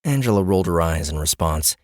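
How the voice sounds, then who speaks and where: Guy reading fantasy audiobook, really low voice, lively storyteller delivery, super dry booth sound. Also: brisk, dry recording. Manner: expressive, storytelling. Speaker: audiobook narrator. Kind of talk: scripted fantasy story narration.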